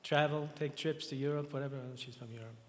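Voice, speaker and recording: A man with a raspy voice giving a halting, emotional memorial address and reading scripture in a church. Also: medium pitch, steady tone, in a large hall, slight background noise. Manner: halting, tearful, repeats words. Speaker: speaker at a memorial service.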